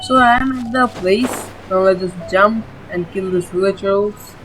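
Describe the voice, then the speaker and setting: A woman gives casual gameplay commentary in an average-pitched voice. Her delivery is informal and rambling, full of filler "like". Game music is clearly audible behind her. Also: a little slow, low-quality recording. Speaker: gaming video host.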